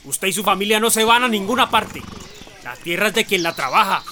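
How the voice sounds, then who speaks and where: An actor playing a commanding, paternalistic guerrilla fighter with a Colombian accent, brisk and instructing, in a radio drama. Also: male voice, high voice, expressive, a little hiss or hum, heavily acted. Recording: loud background sound, music.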